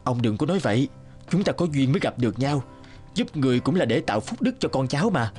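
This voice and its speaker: A man playing a kind, hospitable poor villager in a cartoon, speaking gently and reassuringly. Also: medium pitch, sing-song, fast, faint music behind, natural voice. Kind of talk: cartoon dubbing.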